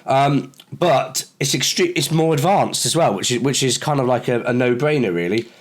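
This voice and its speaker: A man with a sore throat who sounds a little croaky, chatting casually in a review vlog with lots of fillers and restarts. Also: medium pitch, lively intonation, moderate pace. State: hoarse from a cold. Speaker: camera reviewer.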